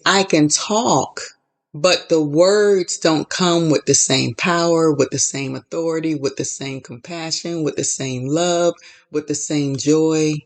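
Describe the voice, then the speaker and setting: A woman hosting her own podcast, speaking slowly and deliberately. She builds her points in repeated, rhythmic phrases, like a short sermon. Her tone stays steady and earnest. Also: sits low. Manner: measured, repetitive preaching cadence. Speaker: podcast host. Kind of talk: inspirational faith talk.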